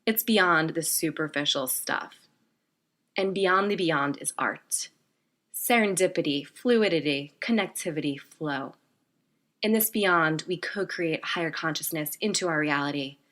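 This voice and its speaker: A woman's voice: deep voice, measured pace, fairly bright tone. A woman hosting a podcast, reading a poetic intro and asking admiring questions. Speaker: podcast host. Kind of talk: scripted intro and interview.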